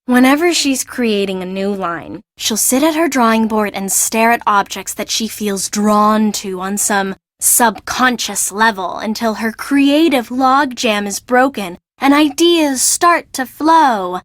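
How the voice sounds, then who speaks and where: A woman voicing an exasperated, bossy young assistant to an eccentric fashion designer in a video game, brisk, expressive and sarcastic. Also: fairly high pitch, dry recording, studio quality, lightly acted. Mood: explaining, wry.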